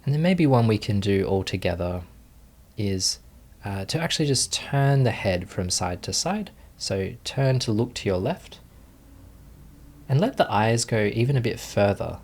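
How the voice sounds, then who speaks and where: A man leading a guided meditation as a teacher, speaking gently and calmly, unhurried, with long pauses between phrases. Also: lowish voice.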